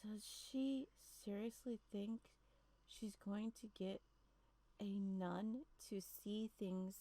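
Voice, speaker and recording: A woman playing a story game on stream, reading and commenting in a halting, muttering way. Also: medium pitch, fairly even intonation, mellow. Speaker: streamer playing a horror visual novel.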